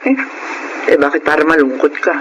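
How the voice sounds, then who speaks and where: An actress playing a supportive middle-aged mother in a radio drama, encouraging and expressive, with a Filipino accent. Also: low voice, brisk, muffled recording, heavy background noise, lightly acted. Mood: concerned. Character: supportive, animated mother urging her daughter on.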